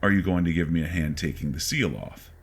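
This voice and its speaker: Resentful, condescending husband played by a male narrator for a horror story; very low voice, curt delivery. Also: brisk, breathy edge. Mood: curt, irritated. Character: contemptuous, irritable husband with murderous resentment. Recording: faint background noise.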